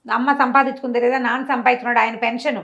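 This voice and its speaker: A woman storyteller speaks very quickly in a fairly high voice. She tells a moral tale like a conversation, slipping into dialogue and turning to the listener to drive the lesson home. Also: lo-fi sound. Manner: animated, didactic, acts out dialogue with rhetorical questions.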